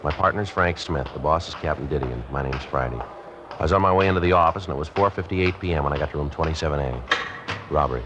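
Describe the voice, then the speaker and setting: A man with an American accent playing a terse, level-headed police sergeant in a 1950s radio drama, speaking in clipped, deadpan sentences. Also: a bass voice, quick pace. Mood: matter-of-fact. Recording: dull, noisy old radio recording.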